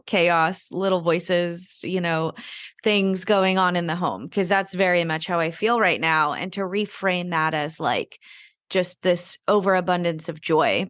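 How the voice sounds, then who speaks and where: A young woman hosting a podcast, thinking aloud in a reflective way and reaching for words with "you know" and "like". Her voice sits fairly low and sounds dry and close. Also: fairly bright tone, dry recording. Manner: reflective, searching for words with fillers. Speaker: podcast host. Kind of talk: casual interview talk.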